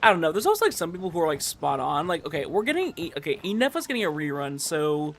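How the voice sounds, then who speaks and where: A young man livestreaming a game, chatting casually in a rambling, halting way with animated emphasis. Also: fairly high pitch. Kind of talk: casual livestream chat over gameplay.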